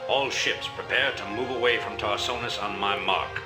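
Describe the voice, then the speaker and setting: A man playing a ruthless, calculating rebel leader in a game, measured, formal and authoritative. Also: on the low side, over loud music, lightly acted. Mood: ruthless, commanding. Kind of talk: dramatic game acting.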